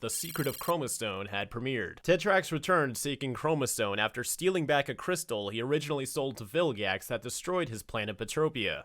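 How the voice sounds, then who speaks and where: A man's voice: medium pitch, a bit bright. A man hosting a review channel, delivering scripted video-essay commentary. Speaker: host of animation review channel.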